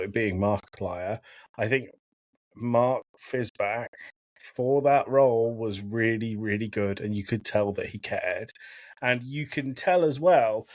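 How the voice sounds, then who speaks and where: A man's voice: on the low side, dry recording. A man hosting a podcast, talking casually and giving his opinions in a conversational way. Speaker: podcast host. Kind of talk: casual discussion of a film.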